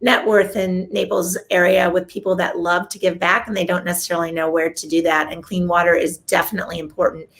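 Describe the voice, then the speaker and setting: A woman's voice: fairly low pitch, flat delivery, fairly quick, hardly any pauses. Gushing woman host. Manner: enthusiastic, gushing, repeats "love". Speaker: host, realtor. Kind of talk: casual interview.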